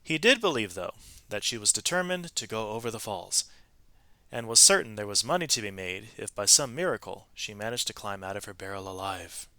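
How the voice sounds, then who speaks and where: A man reading a storybook aloud to students in an expressive, emphatic way, with brief explanatory asides to his listeners. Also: fairly low pitch, a little fast, dry recording. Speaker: read-aloud narrator, teacher reading to students.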